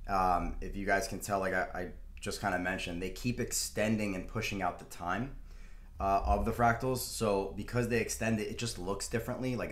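A young man walks through stock charts at his desk, talking loosely with plenty of fillers. His voice sits on the low side. The room around him echoes noticeably. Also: a bit bright. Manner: rambling, filler-heavy, laughs to himself. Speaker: stock market video host. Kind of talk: casual chart walkthrough. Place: room at a desk, in front of a screen.

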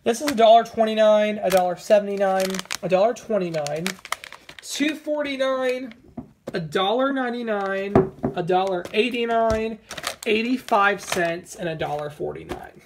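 A woman talking in a casual, rambling, informal way as a vlogger, with a creaky voice, recorded indoors at home on a handheld camera. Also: mid-range voice, moderate pace, noisy background. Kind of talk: casual haul vlog chat. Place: indoors at home, over a table of groceries.

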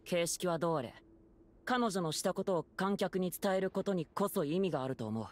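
Actress as a low-voiced, composed woman. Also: animated, brisk, natural voice. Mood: solemn conviction. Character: serious, composed adult woman of a theatre troupe. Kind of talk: Japanese video game voice acting.

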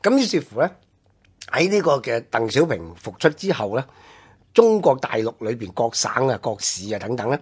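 A man's voice: medium pitch, very animated, normal speed, slightly rough. A man with a Hong Kong Cantonese accent explaining things conversationally, as a commentator on a radio history programme.

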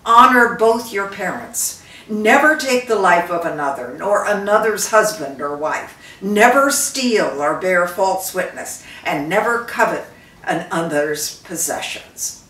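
A woman's voice: fairly low pitch, lively intonation, unhurried, pushed and bright. An older woman voicing an earnest, proud prince pleading formally to rule in a story. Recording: roomy, distant microphone.

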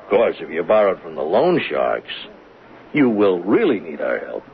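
A narrow, hissy 1970s radio recording carries a stern police lieutenant. His voice is on the low side, deliberate and accusatory, pressing his suspect relentlessly. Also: huge pitch swings, creaky, full character voice. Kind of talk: 1970s radio drama acting. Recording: tinny band-limited noisy 1970s radio recording.

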